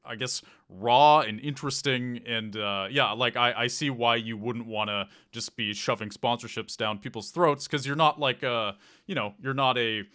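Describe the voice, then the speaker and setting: A man's voice: mid-range voice, normal speed, hardly any pauses, pushed and bright, clean tone. A man hosting a casual podcast interview, talking hesitantly with many fillers. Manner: hesitant, full of "kinda" and "like" fillers. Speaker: podcast host.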